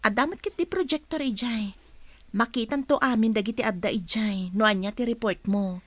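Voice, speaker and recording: A woman's voice: a little high, moderate pace, a bit bright, no room sound. A woman with an Ilocano accent playing a stern, businesslike office supervisor in a radio drama.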